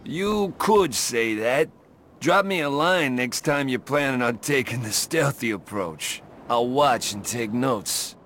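A man's voice: mid-range voice, expressive, slow pace, a bit bright, slight background noise. A man playing a smug government agent in a video game, talking in a wry, sardonic way. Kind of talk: game cutscene acting.

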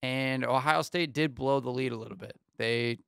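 A man's voice: medium pitch, normal speed, creaky, clean tone, dry recording. A young man in his twenties co-hosting a casual sports talk show in a small studio, running through game scores with plenty of ums. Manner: runs through scores in a steady rundown, many ums. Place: small studio with foam panels. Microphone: studio mic on arm, headphones.

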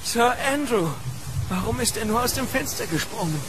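An actor playing a polite, worried young hotel employee in a horror audio drama. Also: male voice, very high voice, animated, normal speed, noisy background. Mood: bewildered. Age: young adult. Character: anxious, deferential young hotel employee calling after a guest.

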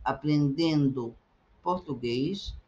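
A middle-aged woman teaching Portuguese, repeating short phrases slowly and with careful articulation for learners. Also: low voice, darkish tone, highs cut off. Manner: slow, carefully articulated repetition. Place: indoors, webcam in a circle overlay.

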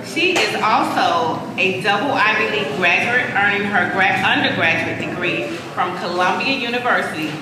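A woman's voice: medium pitch, moderate pace, few pauses, very noisy. A woman emcee reading a speaker's introduction with playful cheer. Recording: echoey hall from far off, music behind.

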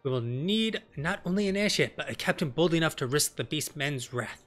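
Young noble scholar read by a man, fantasy game: earnest, precise, bright tone over music. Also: a little high, expressive, fairly quick. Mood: earnest, determined. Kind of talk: fantasy game dialogue reading.

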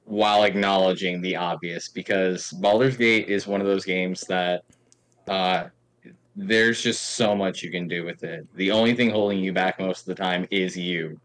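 A man with a fairly low voice speaks in casual, wry conversation. The sound is dry and close, with opinions given plainly. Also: steady tone, moderate pace, fairly bright tone, no room sound. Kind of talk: casual chat.

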